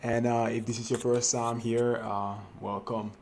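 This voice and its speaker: A man's voice: mid-range voice, level tone, a little slow, a little mellow, a little hiss or hum. A young man from Cameroon telling his personal trading story to camera, hesitant and full of fillers and restarts. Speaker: trading vlogger.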